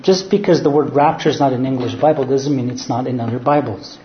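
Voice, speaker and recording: A man's voice: mid-range voice, brisk, roomy sound, dull audio, a little hiss or hum. A man from Serbia with a Serbian accent, a pastor preaching a sermon and making his points with rhetorical questions. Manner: earnest teaching, rhetorical questions, answers his own questions.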